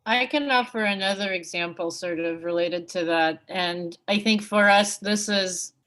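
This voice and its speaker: Low-pitched woman, reflective and halting. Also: a bit bright. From Russia. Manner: halting, reflective, with many hedging asides. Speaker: book co-editor and panel speaker. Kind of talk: unscripted academic discussion.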